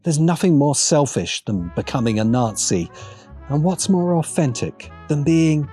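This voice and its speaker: A man narrating a scripted video essay in a deliberate, emphatic manner with pointed pauses. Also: on the high side, very animated, warm tone, soft background music.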